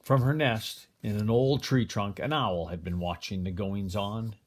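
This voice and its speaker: Older guy reading a kids fable, grandpa style, expressive and deliberate. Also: lowish voice. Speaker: grandfather reading a picture book aloud. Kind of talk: storybook read-aloud.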